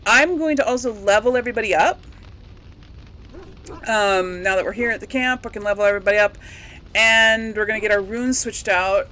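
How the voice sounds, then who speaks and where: A woman's voice: medium pitch, a little fast, pushed and bright, poor audio. A woman hosting a let's play, chatting casually and thinking aloud as she plays. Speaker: gaming video host.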